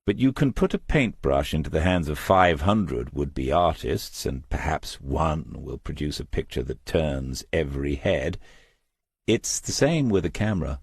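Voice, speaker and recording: A man with an extremely low, husky voice reads crime fiction aloud. His storytelling is measured and reflective. The sound is dry and close, with no sense of a room around him. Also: animated, no room sound. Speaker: audiobook narrator. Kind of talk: scripted crime novel narration.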